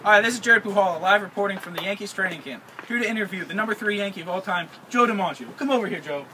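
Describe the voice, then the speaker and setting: A man's voice: sits high, quick pace, bright, forceful tone. A teenage boy playing a gushing male TV sports reporter who heaps over-the-top praise on his guest. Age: teens. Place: outdoor park shelter. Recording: distant camera, outdoor noise.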